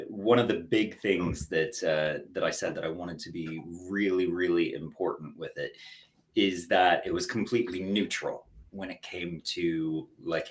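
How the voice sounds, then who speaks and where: A man co-creating a podcast, earnestly explaining his ideas in casual chat. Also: sits low.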